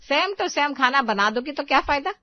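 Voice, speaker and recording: A thrifty older mother-in-law scolds through a cartoon family tale, acted by a woman. Her voice is fairly high and the sound is dry and a bit muffled. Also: lively intonation, normal speed, no room sound. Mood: scolding. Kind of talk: cartoon story dialogue.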